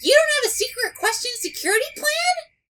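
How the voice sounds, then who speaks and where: A woman voicing an excitable, chatty young female pony in a cartoon fan dub, rambling eagerly and dramatically. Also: very high voice, a little fast, shouting, full character voice. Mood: excited rambling.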